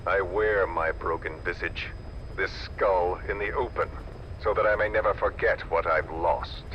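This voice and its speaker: An actor playing a scarred, coldly polite middle-aged villain in a video game cutscene, taunting and slow. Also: male voice, low-pitched, fairly even intonation, muffled recording. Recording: game mix with loud background noise.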